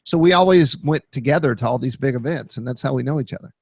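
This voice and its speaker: A man's voice: medium pitch, fast, creaky, slightly rough, no room sound. A man from Texas hosting a casual, friendly chat, talkative and teasing. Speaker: host.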